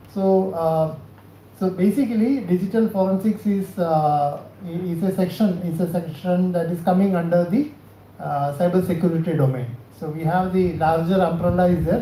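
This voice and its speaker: Dark-voiced South Indian man lecturing, echoey room. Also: a little high. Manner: halting, repetitive, checks with listeners by questions. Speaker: cybersecurity scientist giving a training session. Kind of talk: spoken lecture to a class. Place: indoor college session room. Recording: echoey room, faint background noise.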